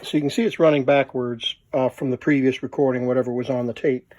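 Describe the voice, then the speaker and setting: A man's voice: mid-range voice, fairly even intonation, fast talker, darkish tone. A man giving a casual, unscripted hands-on demonstration, thinking aloud as he works as a hobbyist presenter. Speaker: vintage tape recorder hobbyist, presenter.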